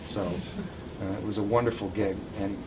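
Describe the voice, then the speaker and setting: A man's voice: lowish voice, steady tone, normal speed, distant mic, noisy background. An older man telling informal anecdotes to a live audience, recorded at an event. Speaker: guest storyteller at a reunion event.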